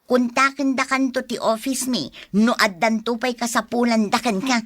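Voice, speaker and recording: Blunt young heroine played by a woman, Ilocano accent, fairly high voice, curt and exasperated, dry close sound. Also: steady tone, moderate pace, no room sound, close to an everyday voice. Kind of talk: radio drama acting.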